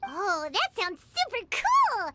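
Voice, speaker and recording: A woman's voice: very high voice, huge pitch swings, slow, heavy background noise, exaggerated voice. A woman voicing a tiny, chirpy female fairy companion in a video game, bubbly and talking about herself in the third person. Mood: incredulous.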